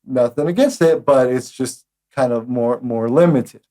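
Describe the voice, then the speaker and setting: A male host leads a relaxed interview chat at a middling pitch. He speaks hesitantly, leaning on fillers and repeating words as he gathers his thoughts. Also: a little slow.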